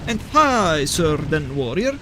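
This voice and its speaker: High-pitched male game caster. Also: very animated, slow pace, background noise. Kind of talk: live esports-style replay commentary, chatting with viewers.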